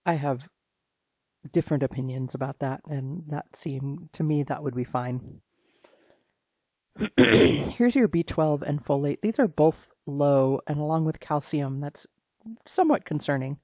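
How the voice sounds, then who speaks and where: A health practitioner, slightly hoarse from allergies, talking through a client's blood test results in a halting, informal way with many restarts. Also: medium pitch, warm tone, dry recording, lo-fi sound. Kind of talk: private recorded walkthrough of lab results.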